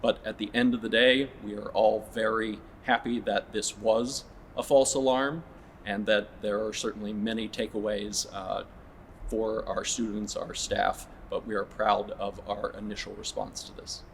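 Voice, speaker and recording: A man with a slightly low, flat voice delivers a formal public statement as a school administrator. His manner is measured and businesslike. Faint noise sits behind the speech. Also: vocal fry.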